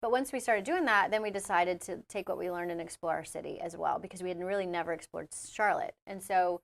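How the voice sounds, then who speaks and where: A woman's voice: fairly low pitch, fast talker, gravelly. A woman being interviewed on a podcast, talking casually and a little hesitantly. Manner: hesitant, lots of fillers and restarts, laughs easily. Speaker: guest, coffee shop owner.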